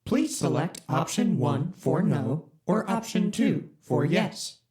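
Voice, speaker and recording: Deadpan corporate ship AI. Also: medium pitch, slow. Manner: flat, polite, bureaucratic. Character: deadpan corporate spaceship computer AI. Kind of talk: audio drama.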